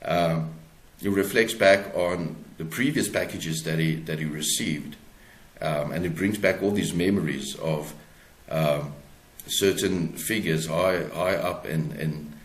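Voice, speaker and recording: South african guy being interviewed, really low voice, keeps groping for words, echoey room. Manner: halting, searching for words. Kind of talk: conversational interview, explaining own book. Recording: roomy, echoey sound.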